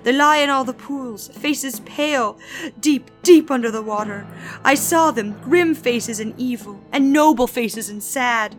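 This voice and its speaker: A woman's voice: sits high, a little slow, faint music behind. A woman voicing a haunted young male hobbit in a dreamlike, distant, trailing manner.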